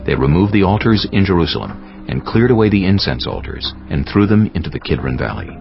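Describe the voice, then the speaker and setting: A man narrating a dramatized scripture audiobook in a measured, solemn storytelling manner. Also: very deep voice, vocal fry. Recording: dull sound with music behind. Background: prominent music.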